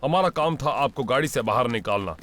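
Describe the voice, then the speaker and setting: A man's voice: mid-range voice, lively intonation, a little fast, a bit bright, lightly acted. A man voicing a courteous rescuer who speaks soothingly in a cartoon dub. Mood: placating. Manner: courteous, placating. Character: polite, soothing male rescuer. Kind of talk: animated series dub. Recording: clear music behind the voice. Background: music.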